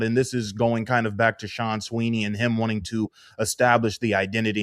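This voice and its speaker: A man's voice: lowish voice, clean tone, no echo, studio quality. A man hosting a conversational sports interview, building up long questions piece by piece.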